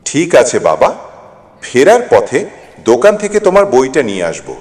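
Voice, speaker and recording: A man acting a poor, hardworking farmer father, gentle and self-sacrificing, in a dramatised moral story. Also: medium pitch, wildly swooping pitch, normal speed. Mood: kind. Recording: long echoing reverb.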